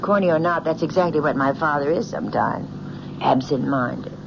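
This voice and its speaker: An actress playing a loving, placating young fiancée and professor's daughter in an old-time radio drama. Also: female voice, low voice, moderate pace, gravelly. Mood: teasing. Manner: soothing, affectionate. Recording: muffled, noisy old radio recording.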